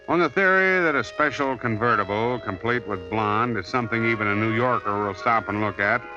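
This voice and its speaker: An actor playing a sardonic, hardboiled detective who also narrates, in a 1950s radio drama. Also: male voice, lowish voice, natural voice. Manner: dry, sardonic wisecracks. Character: wisecracking male detective, dry and hardboiled. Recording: muffled old radio transcription.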